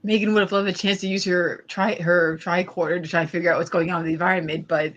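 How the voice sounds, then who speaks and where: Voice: flat delivery, quick pace, a bit bright. A tabletop roleplay player talking casually and a little tentatively about what their character will do. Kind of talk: casual game talk, describing a character's actions. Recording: dull low-fidelity mic.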